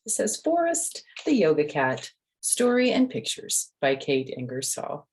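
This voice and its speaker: An older woman reading a picture book aloud to children, gently explaining with chatty asides and questions to the listener. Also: deep voice, wildly swooping pitch, measured pace, slightly rough. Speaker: storyteller and host.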